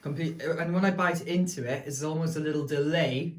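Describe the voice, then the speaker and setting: Man, Welsh accent, from Wales, slightly higher voice, emphatic cookie-tasting host, echoey room. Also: fairly quick. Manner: emphatic, enthusiastic verdicts. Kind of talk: casual food tasting chat. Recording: roomy room echo.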